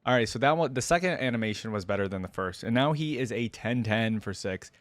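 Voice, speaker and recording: A man's voice: mid-range voice, expressive, a little fast, no room sound, faint music behind. A man hosting a gaming reaction video, giving informal, musing commentary as he thinks aloud.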